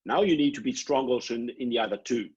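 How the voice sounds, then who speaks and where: A middle-aged Italian man with an Italian accent, answering prospective students' questions on a video call, halting and repeating words as he explains. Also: mid-range voice, a little mellow, husky. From Italy. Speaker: programme director. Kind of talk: online Q&A answers. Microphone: laptop webcam video call. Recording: dull lo-fi video call audio.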